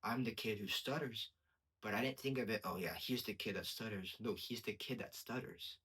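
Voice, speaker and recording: A young man with a stutter gives a casual personal testimony as a podcast host, repeating words and pausing mid-sentence. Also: on the low side, fairly bright tone, husky.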